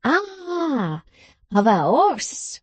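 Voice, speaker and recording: A woman voicing a courteous foreign gentleman who is patient and confused, using bits of French. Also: a little high, animated, takes it slowly, dry recording, pitched above the performer's own voice. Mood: puzzled. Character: polite puzzled foreign gentleman with French phrases.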